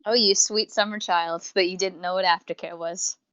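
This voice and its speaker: Female podcast co-host, slightly low, irreverent and casual, dry lo-fi voice-call sound. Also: steady tone, moderate pace, fairly bright tone, clean tone, no echo. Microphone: online voice call. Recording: dull, lo-fi voice-chat line.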